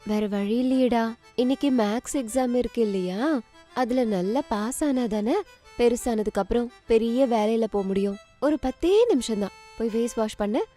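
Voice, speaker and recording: A woman voicing a caring, dutiful young mother and meek daughter-in-law, gentle and coaxing, in a story. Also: on the high side, moderate pace, faint music behind, close to an everyday voice.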